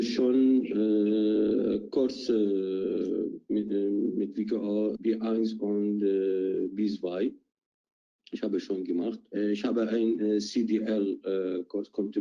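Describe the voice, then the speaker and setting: A man speaks slowly and haltingly in a low, very dark voice. He hesitates often, padding his answers with fillers. The sound is dull and lo-fi, like an online call. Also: vocal fry. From Iraq. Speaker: project participant. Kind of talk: interview answers in learner German. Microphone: video call.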